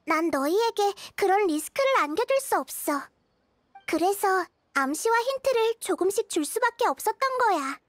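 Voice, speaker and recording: A woman voicing a wise, gentle child-like goddess in a game, explaining things patiently and with composure. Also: very high-pitched, no echo. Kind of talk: scripted game dialogue.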